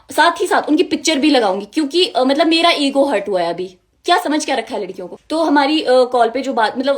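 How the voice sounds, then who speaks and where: Young woman. High pitch, rapid delivery, indignant and emphatic first-person account. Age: young adult. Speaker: music video model. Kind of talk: personal account told to viewers.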